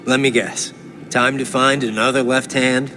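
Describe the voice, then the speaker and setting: An actor playing a gritty cyborg soldier in a video game, gravelly and sardonic, speaking tersely. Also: male voice, medium pitch, flat delivery, moderate pace, sharp tone, faint music behind, lightly acted.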